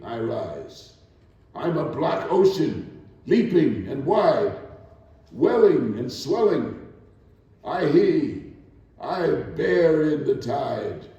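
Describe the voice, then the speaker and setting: Older man, stage actor's recital, declamatory, unhurried, mellow tone, heavily echoing hall. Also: mid-range voice, recorded from a distance, highs cut off. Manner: deliberate, dramatic, declamatory phrasing. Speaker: actor performing a dramatic reading. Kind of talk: theatrical poetry recital and spoken presentation. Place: echoing indoor hall, live performance. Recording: very echoey hall, from afar.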